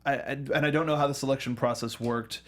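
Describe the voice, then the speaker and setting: Man co-hosting, halting and animated. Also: mid-range voice. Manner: halting, repeats words, animated storytelling with mimicked quotes. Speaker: talk show co-host. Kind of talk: casual film and video game discussion.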